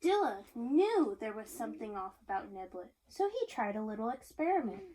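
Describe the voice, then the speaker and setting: A woman reading a picture book aloud to young listeners in an animated way, chatting and asking them questions as she goes. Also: a little high, brisk. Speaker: reader of a picture book to children. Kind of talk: read-aloud storytelling with chat to young listeners.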